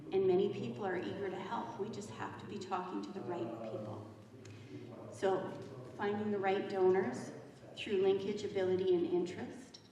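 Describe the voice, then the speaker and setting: A woman gives an earnest, steady talk at a conference, circling back to her main points. She sounds distant in a large reverberant hall. An audience murmurs in the background. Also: fairly low pitch. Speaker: fundraising consultant. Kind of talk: conference presentation. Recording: echoey hall from far off, audience noise.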